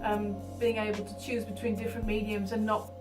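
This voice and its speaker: A woman's voice: mid-range voice, level tone, a little fast. A woman of about thirty with a Dutch accent, talking informally and a little hesitantly about her own life and work as an artist. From Netherlands. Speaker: artist being profiled. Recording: roomy echo, distant mic, music behind.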